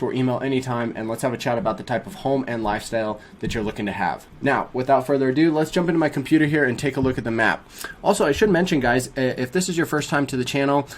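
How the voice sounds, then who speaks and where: A young man in a small home studio, talking viewers through a map in an upbeat, casual way, a little sniffly from a cold. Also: mid-range voice. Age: young adult. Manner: upbeat, fast-talking, addresses viewers as guys. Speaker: real estate agent. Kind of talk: casual explainer vlog.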